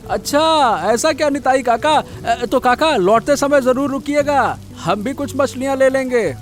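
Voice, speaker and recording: A man playing an affectionate, eager young husband and son in a cartoon story, warm and enthusiastic. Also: very high voice, measured pace, soft background music.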